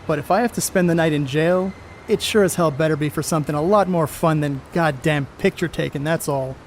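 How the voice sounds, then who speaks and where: A man's voice: on the high side, brisk, a little hiss or hum, lightly acted. A man playing a boastful, crude star ballplayer in a 1930s stage play, cocky and needling in folksy slang. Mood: chummy, easygoing. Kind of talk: amateur stage play acting, 1930s period dialogue.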